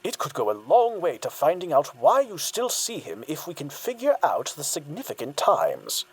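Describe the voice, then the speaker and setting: A woman performs a soothing therapist who hides a sinister streak. The voice sits extremely low and husky, with lively swings of pitch. It coaxes and probes with false reassurance, in audio drama style. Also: moderate pace, heavily acted, rough recording. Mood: gently probing. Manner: coaxing, falsely reassuring, probing. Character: outwardly soothing, secretly sinister therapist doctor.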